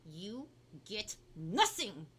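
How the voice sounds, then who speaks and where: A woman's voice: mid-range voice, big pitch swings, very slow, fairly bright tone, breathy edge, heavily acted. Woman putting on a seething, strict Peruvian-accented mother. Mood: seething anger. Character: angry strict mother scolding through gritted teeth.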